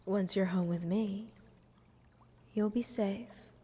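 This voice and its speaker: A woman's voice: mid-range voice, steady tone, takes it slowly, dark tone, slightly rough. A woman playing a playful, selfish goddess in a fantasy audio roleplay, talking down to a little mortal in a teasing, condescending way with whispered phrases. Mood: scheming, amused. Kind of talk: scripted fantasy audio roleplay addressed to the listener. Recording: long hall-like reverb.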